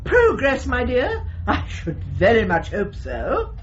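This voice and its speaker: Bossy dog trainer, swooping delivery. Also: female voice, a little high, huge pitch swings, takes it slowly, highs cut off, a little hiss or hum, full character voice. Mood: rallying. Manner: emphatic, rallying, fond of "my dear". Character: brisk, bossy, encouraging widowed female dog trainer. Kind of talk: gently comic radio drama acting.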